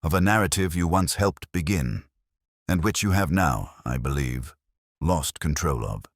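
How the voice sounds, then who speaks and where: A man voicing a mild, wry, perceptive police inspector in a mystery story, gentle and understated. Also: very deep voice, animated, a little slow, no room sound, clean studio sound, exaggerated voice. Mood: mild, knowing.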